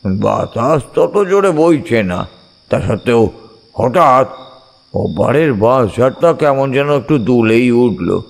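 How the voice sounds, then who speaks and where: A man narrating a scripted ghost story in a suspenseful, expressive, dramatic manner. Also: medium pitch.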